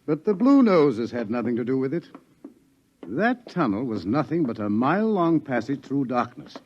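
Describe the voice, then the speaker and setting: An actor playing a grave scientist and doctor who lectures in a measured, ominous way. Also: male voice, medium pitch, very animated, a little mellow. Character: grave older scientist warning of doom. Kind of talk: 1950s radio acting. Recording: dull 1950s radio broadcast.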